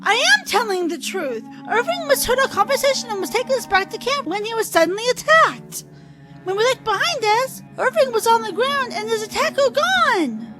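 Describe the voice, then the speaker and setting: A woman's voice: extremely high pitch, sing-song, moderate pace, loud music behind the voice. A woman playing an indignant, earnest young travelling companion in an audio drama, speaking emphatically. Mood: indignant, insistent.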